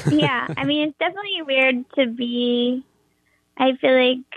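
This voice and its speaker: A young woman with a high voice, a performer and teacher, talking in an interview with lots of hesitant fillers and restarts. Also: a little slow, dry recording. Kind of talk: interview conversation. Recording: tinny phone-like line, dry.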